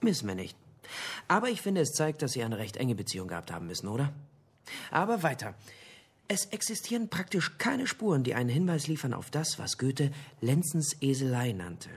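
An actor playing an investigator who briefs colleagues like an eager lecturer in a radio drama, husky and didactic, with emphatic pauses. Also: male voice, medium pitch, very animated. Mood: explaining, engaged.

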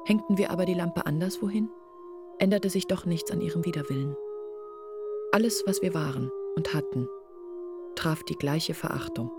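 An actress playing a village woman in a radio drama, recounting her outcast family's shame in a measured, reflective way. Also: lowish voice, loud music behind the voice, lightly acted. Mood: bitter, resigned. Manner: measured, reflective, articulate storytelling. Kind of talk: literary radio drama monologue.

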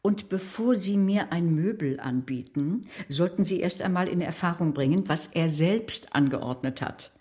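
An actress playing a wry, scheming female carer who narrates a radio crime drama in a dry, measured, sardonic way. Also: fairly low pitch, dark tone, breathy edge, dry recording. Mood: coolly defiant. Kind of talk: radio play narration and dialogue.

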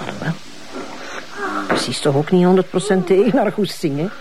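An actress playing a chatty, cheeky police officer with a Flemish accent, speaking informally in a crime drama. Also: female voice, on the low side, brisk, noisy background, close to an everyday voice. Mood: curious, cheeky. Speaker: actor in a police drama series.

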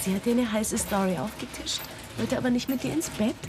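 An actress playing a worldly, mocking newspaper colleague in a radio drama, teasing with drawn-out irony. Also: female voice, fairly high pitch, close to an everyday voice. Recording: music behind dialogue.

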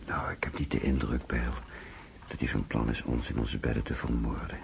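A man's voice: rumbling low, fairly even intonation, normal speed, vocal fry, noisy background, heavily acted. A man playing a wary, doubting middle-aged father in a Dutch radio play, probing and insistent. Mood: sleepy, cautious.

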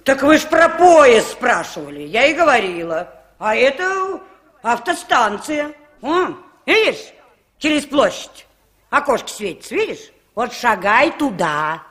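Older female street sweeper, high voice, unhurried, folksy, chatty, radio play. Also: very animated, fairly bright tone. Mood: helpful. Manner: colloquial, rambling, folksy. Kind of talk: 1960s radio drama acting.

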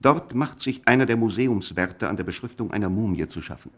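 A man narrating a scripted radio play in a measured, literary manner. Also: fairly low pitch, expressive, a bit bright.

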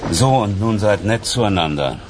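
Radio drama casting: male actor as brisk senior police boss, lower register, audible scene noise. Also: animated, close to an everyday voice. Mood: brisk, formal. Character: brisk male police chief introducing colleagues. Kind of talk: German radio crime drama acting.

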